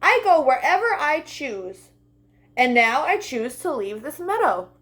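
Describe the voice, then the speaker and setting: A woman's voice: high voice, big pitch swings, unhurried, fairly bright tone, a little hiss or hum, voice pushed up, exaggerated voice. A woman voicing a carefree, independent young male butterfly in a children's storybook, breezy and self-assured. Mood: defiant.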